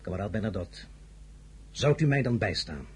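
An actor playing an ambitious, imperious young French general in an audio drama, with emphatic, rhetorical phrasing and a husky voice. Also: male voice, fairly low pitch, very animated, normal speed, exaggerated voice. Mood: persuasive, probing.

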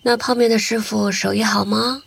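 An actress playing a cool, teasing young woman in an audio drama, with a husky, breathy voice. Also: fairly high pitch, fairly even intonation, normal speed, a little hiss or hum. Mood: dry, teasing. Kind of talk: Chinese audio drama acting.

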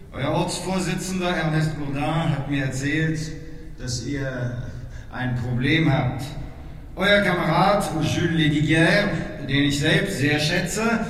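High-pitched rabble-rousing politician in an echoing hall. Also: male voice. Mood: measured. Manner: declamatory, rhetorical repetition. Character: fiery far-right French party secretary rallying his comrades. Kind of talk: political rally speech in a radio crime drama. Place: large hall. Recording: echoing reverberant hall with faint noise.

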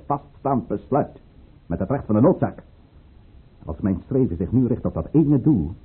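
A low, swooping male voice as a ruthless count plotting against his ruler. He declaims with commanding fervour in an old radio drama, and the muffled recording is narrow as a phone line. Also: breathy edge, exaggerated voice. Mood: fervent, forceful. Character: ruthless, fervent count leading a conspiracy against the tsar. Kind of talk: Dutch radio play acting.

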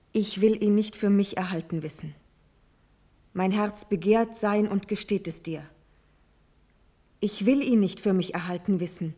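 A woman's voice: medium pitch, dark tone, close to an everyday voice. An actress playing a devoted young noblewoman in a classical verse drama, speaking with solemn, elevated declamation. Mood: imploring. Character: devoted, brave young noblewoman pleading for her cousin.

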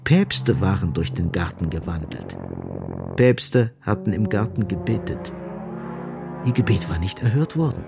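Male narrator for literary radio drama: creaky, gravelly tone, grave, emphatic phrasing over music. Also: low voice, very animated, measured pace.

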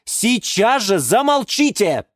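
A woman's voice: lowish voice, sing-song, normal speed, darkish tone, dry recording, studio quality, voice pushed up. A woman voicing a kind, earnest male plant owner and peacemaker in a children's story, emphatic and a little flustered. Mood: exasperated.

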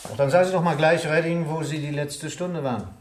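A man playing a brusque, impatient police inspector in a German radio play, with a gruff, halting interrogator's manner. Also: on the high side, steady tone, lightly acted. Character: brusque male police inspector questioning witnesses. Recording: roomy, faintly noisy studio recording.

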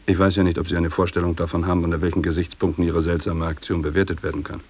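Very deep, stern police interrogator. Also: male voice, level tone, very fast, slightly rough. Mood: stern, impatient. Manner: curt, official, condescending. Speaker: interrogating officer. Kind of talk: radio drama interrogation dialogue.

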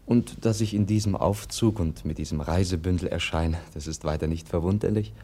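An actor in a radio play playing a gentle, naive young prince, courteous, candid and earnest in his speech. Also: low-pitched, a little fast. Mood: calm, candid. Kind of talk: 1950s German radio play acting.

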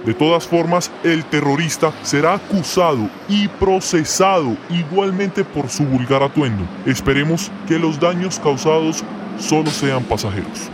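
Radio comedy colonel, played by a man: Colombian, high-pitched, bombastic officialese, noisy street behind. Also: lively intonation, a little slow. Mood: solemn, pompous. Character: pompous police colonel, moralizing patriotic official. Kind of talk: parody radio soap, official statement. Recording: clear street traffic noise behind.

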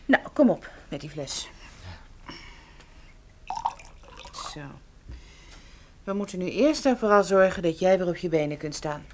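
A woman playing a detective's level-headed female assistant in a Dutch radio play, speaking in a calm, firm and reassuring way. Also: fairly low pitch, normal speed, slight background noise, close to an everyday voice. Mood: reassuring, practical.

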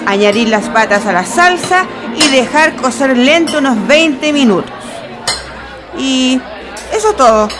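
Woman acting as restaurant owner, slow deliberate delivery, instructive, radio drama, music and clinking dishes. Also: sits high, expressive, crowd noise. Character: restaurant owner dictating a homely recipe.

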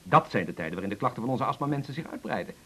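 A man's voice: medium pitch, expressive, very fast, fairly bright tone, slightly rough. An actor playing a slick yet flustered door-to-door salesman in a radio play, with hesitant sales patter full of jargon. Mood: persuasive.

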